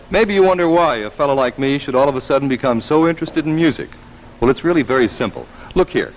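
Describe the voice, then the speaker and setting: A man announcing a scripted 1950s sponsor commercial in a folksy, conversational sales style. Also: medium pitch. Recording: muffled 1950s broadcast recording.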